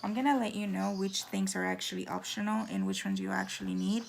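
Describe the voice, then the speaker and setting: A woman with a hoarse, raspy voice giving a casual at-home nail how-to, chatty with lots of fillers. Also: mid-range voice, fairly even intonation, a little fast, noisy background. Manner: chatty, filler-heavy, drops into whispers. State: hoarse from talking. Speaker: nail tutorial vlogger.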